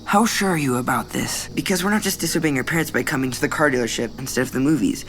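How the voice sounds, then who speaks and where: A man's voice: medium pitch, fast talker, fairly bright tone, faint music behind, lightly acted. A male actor playing an earnest, easygoing, slightly clueless teenage boy in an audio adventure drama. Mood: doubtful.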